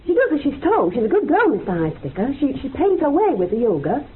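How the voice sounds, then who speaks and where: A woman's voice: high voice, huge pitch swings, quick pace. An actress playing a possessive, chatty mother in a radio drama, rambling on insistently and matter-of-factly. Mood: proud, matter-of-fact. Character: chatty, possessive mother showing off her mute daughter. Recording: noisy, phone-like old radio recording.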